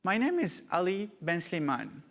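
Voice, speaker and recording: A man from Morocco giving a prepared keynote talk at a conference, measured and deliberate. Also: high-pitched, wildly swooping pitch, creaky, a bit bright. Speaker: conference speaker. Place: conference hall. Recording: reverberant conference hall.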